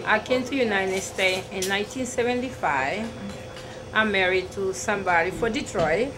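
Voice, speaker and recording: A woman's voice: mid-range voice, normal speed, over music. A middle-aged woman with an Ecuadorian Spanish accent, telling her own story in an interview. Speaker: restaurant owner interviewee. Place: indoor restaurant dining room. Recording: clearly noisy room ambience.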